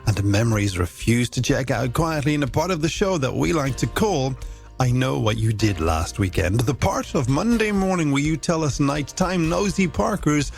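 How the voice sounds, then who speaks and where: A man's voice: mid-range voice, expressive, moderate pace, gravelly. An Irish man presenting a scripted music radio show with playful, wordy, alliterative patter. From Ireland. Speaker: radio host.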